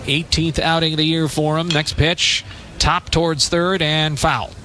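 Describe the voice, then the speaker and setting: A man's voice: a little high, level tone, a little slow, bright, forceful tone, slight background noise. A man doing live radio baseball play-by-play, giving clipped calls and statistics from a stadium. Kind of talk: live radio sports commentary.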